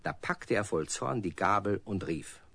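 A man's voice: fairly low pitch, animated, measured pace, gravelly, a bit bright, a little hiss or hum. A man narrating a children's radio play as a scripted storyteller.

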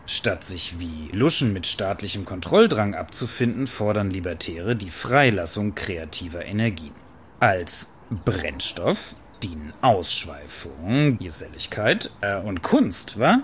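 An actor playing a brash, earthy Berlin partygoer in a radio play, with a Berlin accent and colloquial, sassy delivery. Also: male voice, low-pitched, big pitch swings, unhurried, a little hiss or hum. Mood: declamatory. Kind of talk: German radio play acting.

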